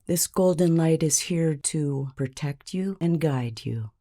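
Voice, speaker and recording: A woman narrating a scripted guided meditation in a calm, measured, soothing manner with long pauses. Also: deep voice.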